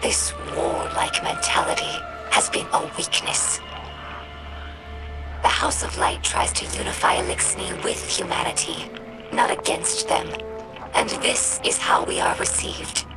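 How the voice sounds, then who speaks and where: A woman voicing a thoughtful alien scribe in a video game, speaking formally and precisely in a breathy rasp, measured and quietly wounded. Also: close to an everyday voice, rough recording. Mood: earnest, grave. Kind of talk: scripted video game monologue. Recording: loud music behind the voice. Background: loud music.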